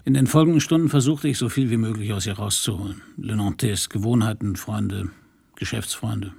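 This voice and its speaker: Husky, gravelly world-weary detective. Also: male voice, fairly low pitch, a little fast, a little mellow. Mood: reflective. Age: middle-aged. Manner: wry, laconic. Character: world-weary, cynical middle-aged male private detective and narrator. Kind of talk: German radio crime drama acting and narration.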